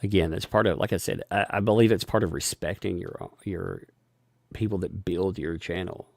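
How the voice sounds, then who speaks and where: A man hosting a casual live stream, thanking viewers for donations and rambling with frequent restarts and chuckles; he has a gravelly voice. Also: sits low, animated, normal speed, dry recording, studio quality.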